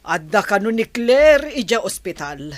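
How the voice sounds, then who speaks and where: A woman's voice: medium pitch, big pitch swings, unhurried, dry recording. A woman acting in an audio drama as a worried, concerned grandmother-to-be. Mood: worried, gossiping.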